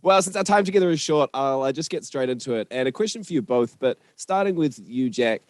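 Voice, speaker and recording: A man's voice: medium pitch, lively intonation, a little fast, no echo. Casual New man hosting. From New Zealand. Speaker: podcast host and interviewer. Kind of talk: casual podcast chat and interviewing.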